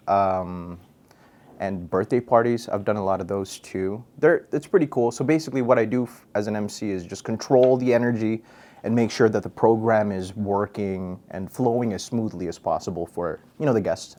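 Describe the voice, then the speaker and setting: A man's voice: fairly low pitch, moderate pace, darkish tone. Laid-back goofy young man. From Guam. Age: young adult. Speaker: radio personality and MC, interview guest. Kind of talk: casual interview answers.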